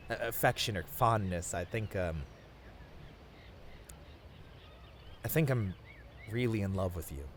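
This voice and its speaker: Low-voiced tender boyfriend, acted by a man. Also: slight background noise, lightly acted. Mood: nervous, earnest. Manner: soft, earnest, hesitant with small stammers. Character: tender, sappy boyfriend confessing love on a picnic. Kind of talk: romantic ASMR roleplay, addressed to the listener.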